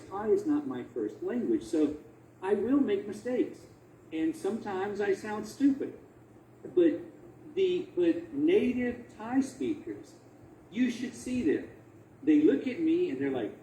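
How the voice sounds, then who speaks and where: A male researcher presents in a conversational but emphatic way, with very lively swings of pitch and an unhurried pace. He repeats phrases for effect and poses rhetorical questions. His voice is soft-edged and mellow. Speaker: academic researcher presenting a talk. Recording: roomy echo, faint background noise.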